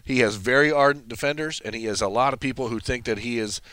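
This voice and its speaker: A man giving quick, assured college football analysis in casual sports talk, with a dry sense of humor. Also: medium pitch, a bit bright, no room sound.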